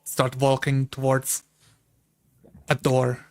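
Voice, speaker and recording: A man's voice: medium pitch, monotone, takes it slowly. A man playing a tabletop roleplaying game, casually speaking in character and narrating his own actions.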